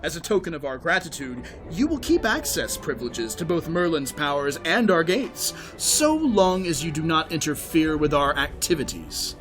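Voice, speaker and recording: A man playing a shrewd, coolly ruthless schemer in an audio drama, with a polite, measured and sardonic manner. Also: fairly high pitch, big pitch swings, fairly bright tone, faint music behind. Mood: coolly warning.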